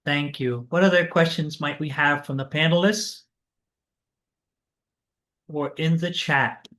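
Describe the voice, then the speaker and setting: A man with a slightly raised pitch moderates an online panel over a video call. He reads the audience's questions aloud courteously, with occasional fillers. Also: steady tone, takes it slowly, sharp tone.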